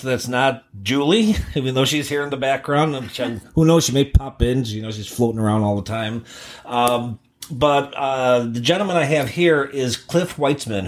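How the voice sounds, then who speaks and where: A man hosting an interview podcast from his office as a psychologist, talking loosely with many fillers and restarts. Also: mid-range voice. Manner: halting, lots of fillers and restarts. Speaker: psychologist host.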